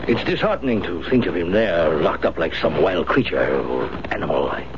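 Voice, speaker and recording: Polite fussy gentleman, solicitous, hesitant, low-pitched, muffled and noisy old radio broadcast. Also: animated, normal speed. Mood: courteous, concerned. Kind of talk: 1970s radio drama acting.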